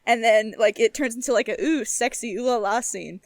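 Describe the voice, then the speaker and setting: Young woman, really high voice, giggly sweary podcast chat, says like constantly, dry audio. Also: dry recording. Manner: giggly, sweary, halting with many "like"s. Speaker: podcast co-host. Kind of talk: casual chat, retelling a game.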